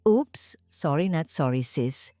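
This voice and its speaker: A woman's voice: low voice, lively intonation, slow, warm tone, no room sound, studio quality. A woman voicing a spoiled young stepsister in a dramatic story, sneering and taunting, mixing English into Tagalog. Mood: mocking, dismissive. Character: smug, spoiled young stepsister, mocking and malicious.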